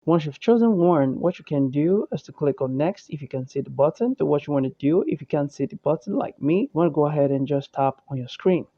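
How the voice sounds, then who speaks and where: A man hosting a how-to video, narrating software steps in an instructional, repetitive way over a muffled voice-over recording. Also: on the high side, lively intonation, fairly quick, few pauses, no echo. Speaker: tutorial host. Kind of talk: step-by-step software tutorial narration.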